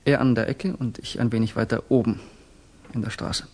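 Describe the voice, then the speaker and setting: A man acts out a young revolutionary student in a 1960s radio play. His voice is slightly husky and of middling pitch. He confides with fervour and speaks deliberately, like an orator who now and then hesitates. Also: steady tone, darkish tone, close to an everyday voice. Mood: earnest, confessional. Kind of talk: 1960s German radio drama acting.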